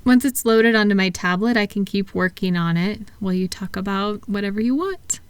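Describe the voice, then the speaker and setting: A woman speaking softly and gently, at times breathy, in an unhurried, reassuring one-sided ASMR roleplay as a calming practitioner. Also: mid-range voice. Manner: soothing, reassuring, unhurried, asking gentle questions. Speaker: audio roleplay performer playing a thought-calming practitioner.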